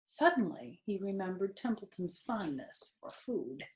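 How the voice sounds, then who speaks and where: A woman's voice: medium pitch, big pitch swings, a little slow, a little mellow. Echoey woman storyteller reading to children. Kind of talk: expressive read-aloud storytelling for children. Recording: roomy indoor echo.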